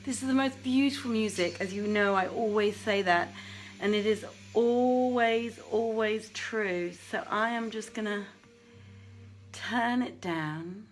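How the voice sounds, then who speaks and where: A woman's voice: medium pitch, a little slow, a little hiss or hum. A woman hosting an informal livestreamed evening reading, chatting directly to her audience as she welcomes them.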